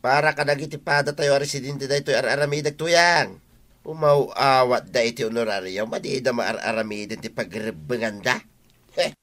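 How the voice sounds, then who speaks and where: A man's voice: mid-range voice, moderate pace, fairly bright tone, lightly acted. An actor in a comedy radio drama playing a stubborn, disgruntled older village man who gripes insistently.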